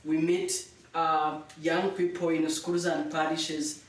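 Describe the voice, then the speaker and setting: A man's voice: on the high side, little pitch movement, takes it slowly, far from the mic, a little hiss or hum. A young man from Uganda, explaining his work earnestly. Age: young adult. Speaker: podcast guest. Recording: echoey room, off the mic.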